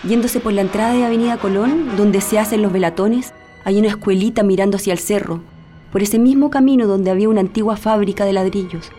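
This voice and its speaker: A woman's voice: medium pitch, fast, warm tone, close to an everyday voice. A woman playing a sorrowful, insistent witness recalling past atrocities in a radio drama. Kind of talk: radio drama acting, reminiscence. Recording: faint music under the voice.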